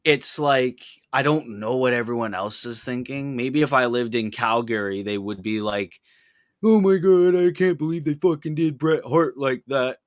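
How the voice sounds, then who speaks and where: Early-twenties man from Canada, relaxed casual co-host, mid-range voice, dry recording. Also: medium pitch, normal speed, no echo. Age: young adult. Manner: laid-back, rambling, casual swearing. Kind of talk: casual wrestling chat.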